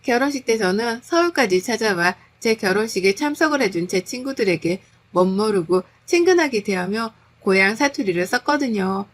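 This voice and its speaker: Woman, story narrator, medium pitch, scripted first-person narration. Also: lively intonation, normal speed, a little hiss or hum.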